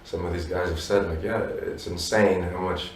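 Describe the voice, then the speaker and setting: A man in his thirties with Polish roots, a musician answering interview questions in a relaxed, reflective way with many "you know"s. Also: sits low, normal speed, a little hiss or hum. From abroad, Polish parents. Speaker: musician guest. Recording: roomy echo from a distance.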